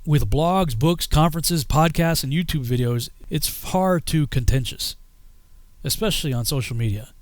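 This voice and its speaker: A man's voice: on the high side, moderate pace, no echo. Man narrating, dry close sound. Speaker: filmmaker and YouTuber narrating his own video. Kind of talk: scripted personal narration with a fundraising appeal.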